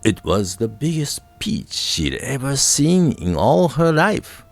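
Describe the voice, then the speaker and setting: Very animated, slow-paced male storyteller. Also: medium pitch, vocal fry, no echo, faint music behind. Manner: deliberate storytelling delivery. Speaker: narrator. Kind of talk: scripted folk-tale narration.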